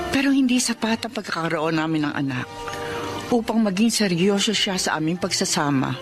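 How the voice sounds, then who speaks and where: Dahlia, a woman playing a gentle, devoted mother. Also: mid-range voice, close to an everyday voice. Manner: gentle, caring, earnest. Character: kind, devoted middle-aged mother and wife. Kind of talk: first-person dramatic narration.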